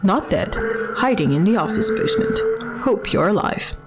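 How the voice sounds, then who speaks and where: A woman's voice: medium pitch, huge pitch swings, moderate pace, loud music behind the voice. A woman playing a chatty, self-mocking former reality show housewife, rambling theatrically and sarcastically in an audio drama diary. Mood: resigned, rueful.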